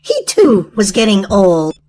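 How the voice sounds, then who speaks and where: A woman reading a storybook aloud to young children in an animated, sing-song way. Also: medium pitch, takes it slowly. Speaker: children's storytime host and reader.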